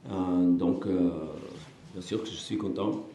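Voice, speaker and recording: Portuguese-accented man, low and mellow. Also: level tone, echoey room, a little hiss or hum. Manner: measured, halting non-native French with many fillers and restarts. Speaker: football coach. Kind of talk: post-match press conference.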